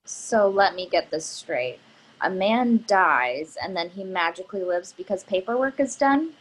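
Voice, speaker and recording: A woman's voice: mid-range voice, lively intonation, unhurried, poor audio. A woman playing a direct, businesslike young professional in a play reading, formal and pointed as she questions. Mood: skeptical, pressing.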